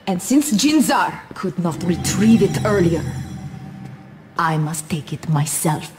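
An imperious alien warlord. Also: female voice, on the low side, lively intonation, slow pace, over music. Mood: menacing. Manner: haughty, commanding. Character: cold imperious alien warrior woman, villain.

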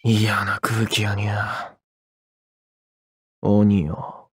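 A man's voice: fairly low pitch, completely flat delivery, extremely slow, breathy edge, no room sound, clean studio sound, heavily acted. A man voicing a hearty, easygoing sword warrior in an anime game, rustic and bold with a Tosa accent. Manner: rustic, bold, long shouted calls.

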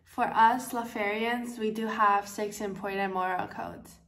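A woman's voice: medium pitch, fairly even intonation, unhurried, recorded from a distance, over loud music. Teenage girl from Taiwan in an echoey room. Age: teens. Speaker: student presenter. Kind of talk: school project presentation.

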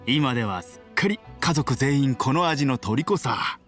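Kind village uncle in an anime game, calm steady mid voice, soft music under it. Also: male voice, medium pitch, unhurried. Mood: calm, caring. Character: kind, steady middle-aged village family man. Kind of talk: Japanese anime game voice acting.

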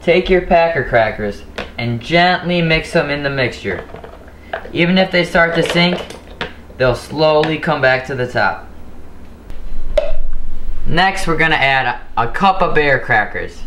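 Teen male host, slightly high voice, deadpan scripted cooking demo, home kitchen, camera mic. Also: unhurried, pauses often, background noise. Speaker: host of a mock cooking show. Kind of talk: scripted mock cooking demonstration. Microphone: camera mic, a few steps away.

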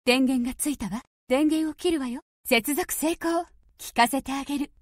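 A woman's voice: high-pitched, moderate pace, dry recording, clean studio sound, natural voice. A woman voicing a confident, mysterious young diva, commanding and teasing, in anime-style device prompts. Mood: cool, confident. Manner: commanding, teasing, self-assured.

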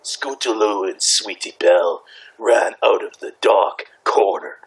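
A man reading a story aloud among friends, halting and stumbling over words between casual remarks. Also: on the low side, poor audio. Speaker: participant in a group fanfic reading. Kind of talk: amateur read-aloud with casual banter.

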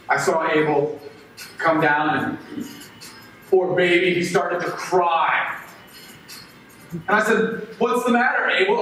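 A man's voice: sits high, fairly bright tone. A man performing a first-person dramatic monologue as a resentful, jealous young farmer, speaking with impassioned, ranting intensity. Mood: brooding. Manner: impassioned, swings from brooding to ranting. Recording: very echoey hall, voice from far off, faint noise.

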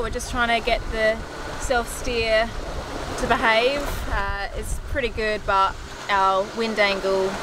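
A woman in her thirties chatting candidly and casually to camera as a sailing vlogger. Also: medium pitch. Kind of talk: casual vlog talk to camera. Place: outdoors in the cockpit of a sailing yacht at sea. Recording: loud sea and boat noise.

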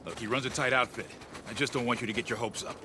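An actor playing a stern, disciplined militia officer in a video game, speaking in a firm, businesslike way. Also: male voice, mid-range voice, fairly even intonation, fast, noisy background, natural voice. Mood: guarded, matter-of-fact. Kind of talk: naturalistic video game acting.

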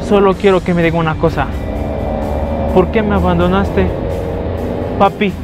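High-voiced Mexican prankster, deadpan. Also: male voice, normal speed. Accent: Mexican Spanish. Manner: deadpan absurd questions, mock pleading. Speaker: prankster host. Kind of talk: street prank video. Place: streets, shops and a car lot, mostly outdoors. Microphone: handheld action camera. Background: background music.

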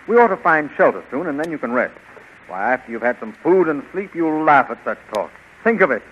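An actor playing a bold, stubborn young American adventurer in a 1930s radio serial, earnest and reassuring. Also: male voice, on the high side, big pitch swings, brisk, a bit bright. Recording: noisy, dull 1930s radio recording.

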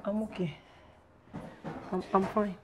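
A woman's voice: medium pitch, level tone, slow pace, a little hiss or hum, close to an everyday voice. An actress playing a defiant, scheming mother in a screen drama. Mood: uneasy.

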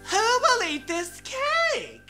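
A man voicing a fussy, hardworking little red hen in a comic storybook read-aloud, shrill, drawn-out and emphatic. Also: sing-song, pushed and bright, soft background music, full character voice. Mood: shrill, insistent.

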